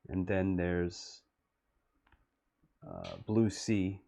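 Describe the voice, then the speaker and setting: A middle-aged man talking through his own music video, slow and wistful. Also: little pitch movement, creaky, dark tone. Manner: low-voiced, slow and wistful. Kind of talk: reflective commentary.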